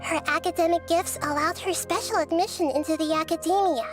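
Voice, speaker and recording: High-voiced gentle child goddess. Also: female voice, fairly even intonation, over music. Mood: calm, explanatory. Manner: measured, earnest, articulate. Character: small childlike goddess of wisdom, gentle, thoughtful and composed. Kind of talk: video game character acting.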